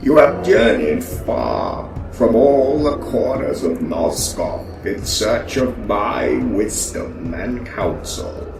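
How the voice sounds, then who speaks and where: Very slow, echoing ancient oracle. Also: male voice, lowish voice, sing-song, vocal fry, full character voice. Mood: solemn, grand. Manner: stately, ceremonious, drawn-out. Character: solemn, imposing ancient male oracle. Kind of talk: fantasy audio drama acting. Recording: echoey, distant, music underneath. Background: music.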